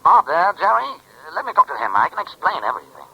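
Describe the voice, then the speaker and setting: An actor playing a hard-boiled, double-dealing crime boss who talks curtly and in slang in a 1940s radio drama. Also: male voice, sits high, huge pitch swings, fast, vocal fry, pushed and bright, a little hiss or hum, heavily acted, poor audio. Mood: nervous, pleading. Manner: curt, slangy. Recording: vintage 1940s radio recording.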